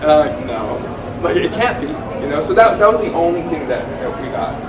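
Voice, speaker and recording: Chatty man, slightly high voice, far from the mic, roomy hall, noisy. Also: animated, moderate pace. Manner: chatty, lots of "like" and self-restarts. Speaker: wildlife tracking panelist. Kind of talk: animated storytelling answer. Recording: echoey room, distant mic, noisy.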